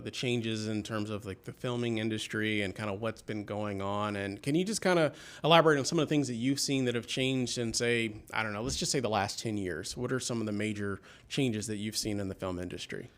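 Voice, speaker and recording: A man's voice: medium pitch, a little fast, few pauses. Agreeable male interviewer. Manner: agreeable, frequent short affirmations. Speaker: interview host. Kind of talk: casual interview conversation.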